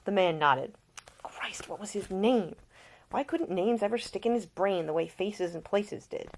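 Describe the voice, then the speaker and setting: A woman's voice: medium pitch, lively intonation, unhurried, poor audio. A woman author presenting and reading her own fiction at home in an informal storytelling style. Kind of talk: informal introduction, then expressive audiobook narration.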